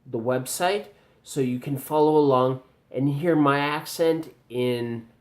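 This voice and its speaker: A man with a general Canadian accent speaks slowly and deliberately, like a teacher giving feedback. He pauses often and fills gaps with ums. His voice sits at an ordinary pitch for a man. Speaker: video commentator reviewing pronunciation. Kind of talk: slow spoken video response.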